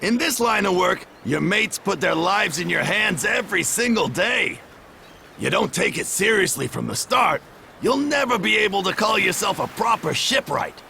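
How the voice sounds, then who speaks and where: An actor playing a gruff, boisterous veteran shipwright mentor in a video game, with a gravelly voice and blunt, hearty, colloquial talk. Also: male voice, high voice, lively intonation, normal speed, sharp tone, a little hiss or hum, exaggerated voice. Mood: stern, blunt. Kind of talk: video game cutscene voice acting.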